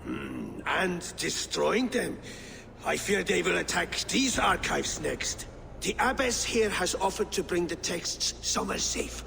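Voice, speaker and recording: An actor playing a courteous, worried lord of a medieval town in a video game, polite and a little hesitant. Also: male voice, high-pitched, a little slow, gravelly, big-room sound, noisy background, heavily acted. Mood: courteous, concerned.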